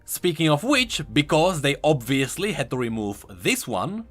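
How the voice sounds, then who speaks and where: A man's voice: on the high side, big pitch swings, measured pace, fairly bright tone, faint music behind. A man doing an enthusiastic, conversational voice-over commentary as a video host. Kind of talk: game lore commentary voice-over.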